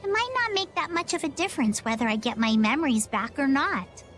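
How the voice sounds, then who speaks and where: A woman's voice: high voice, expressive, a little fast, fairly bright tone. A woman playing an earnest, innocent youngster in a fantasy video game cutscene. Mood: earnest, wistful. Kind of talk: English game cutscene voice acting. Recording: music behind the voice.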